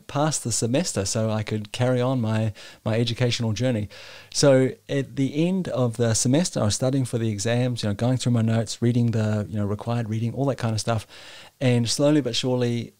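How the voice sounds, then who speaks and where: A man's voice: mid-range voice, fairly quick, darkish tone, dry recording. Candid New man in his forties. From New Zealand. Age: 40s. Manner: candid, self-deprecating, with frequent small restarts. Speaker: podcast host. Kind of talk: personal storytelling monologue.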